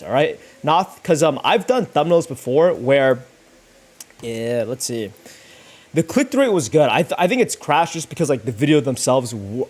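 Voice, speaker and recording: A young man chatting casually and thinking aloud as he works, rambling in slangy, sweary language. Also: a little high. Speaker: YouTuber livestreaming thumbnail making. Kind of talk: casual chat, thinking aloud while working.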